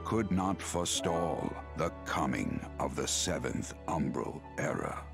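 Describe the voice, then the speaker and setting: An actor playing a solemn, portentous narrator of an ancient realm in a fantasy video game. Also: male voice, a bass voice, lively intonation, a little slow, creaky, full character voice. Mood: solemn, grand. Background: prominent music.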